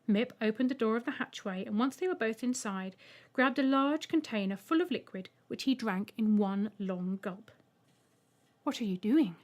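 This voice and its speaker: A woman's voice: on the high side, normal speed. A woman reading a scripted short story aloud as an audiobook narrator.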